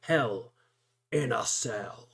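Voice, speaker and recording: A man's voice: mid-range voice, extremely slow, vocal fry, fairly bright tone, slightly rough. A man giving casual gameplay commentary as the host of a gaming video.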